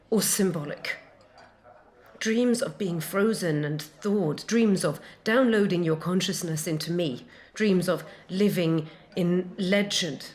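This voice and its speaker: A woman's voice: fairly low pitch, close to an everyday voice. A woman playing a knowing female artificial intelligence, delivering a scripted dramatic monologue about humankind.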